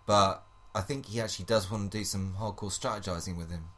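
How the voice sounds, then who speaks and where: A woman with an unusually low voice co-hosts a casual podcast. She makes dry, measured remarks and reasons things through. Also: steady tone. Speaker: podcast co-host. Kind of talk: casual chat recapping a reality show.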